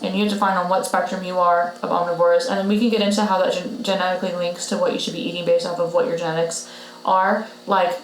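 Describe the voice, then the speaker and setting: A woman co-hosting a podcast, giving blunt health advice in a casual, emphatic way and repeating her key points. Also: fairly low pitch. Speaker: podcast co-host, patient. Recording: roomy echo with faint noise.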